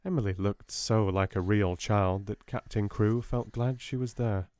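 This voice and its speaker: A British man narrates a storybook in a soft voice. His pitch is on the low side, and the recording is dry, as suits an audiobook. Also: a little slow, dry recording.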